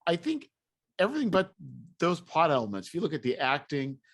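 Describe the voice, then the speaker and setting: A man's voice: a little high, huge pitch swings, a little fast. Opinionated man explaining his take casually. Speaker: podcast speaker discussing film franchises. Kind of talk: casual chat.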